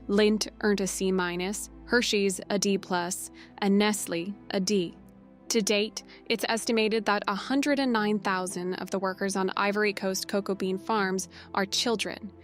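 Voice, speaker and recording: A woman giving a scripted, factual read-aloud of a news article. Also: mid-range voice. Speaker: reader of quoted journalism.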